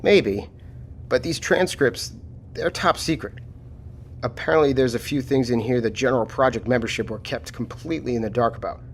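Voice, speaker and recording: A man playing a steady, matter-of-fact military lieutenant taking charge after a disaster in an audio drama. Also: medium pitch, normal speed, slight background noise, natural voice. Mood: businesslike.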